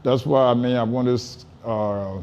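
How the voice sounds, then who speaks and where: A man's voice: mid-range voice, steady tone, a little slow, darkish tone, clean tone. A man from Liberia with a Liberian accent, telling his story casually as an interview guest, repeating and rephrasing for emphasis.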